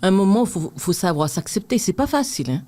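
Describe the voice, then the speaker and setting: A woman's voice: medium pitch, big pitch swings, fairly quick, warm tone. Martinican woman in her fifties. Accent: Martinican French. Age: 50s. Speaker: guest. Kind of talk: casual interview chat.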